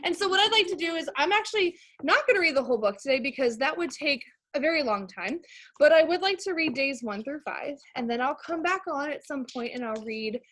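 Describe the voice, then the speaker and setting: A woman's voice: high-pitched, lively intonation, fairly quick, a bit bright. An American woman reading aloud to young schoolchildren as a teacher, informal and explanatory, addressing them as friends. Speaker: elementary school teacher reading a picture book to pupils. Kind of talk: read-aloud lesson for young children.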